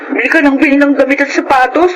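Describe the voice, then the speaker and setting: An actress playing an outspoken, caring young sister in a Filipino radio drama, with a nagging but sympathetic manner. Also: female voice, high voice, fairly even intonation, fast talker, a little hiss or hum, lightly acted, low-quality recording. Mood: scolding, sympathetic.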